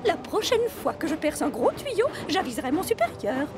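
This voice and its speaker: A chatty, excitable female digging machine in a children's cartoon. The voice is extremely high and animated, jumping about with playful exclamations. Music runs behind it. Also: normal speed. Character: excitable, chatty, joking female steam shovel. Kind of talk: children's cartoon dub acting.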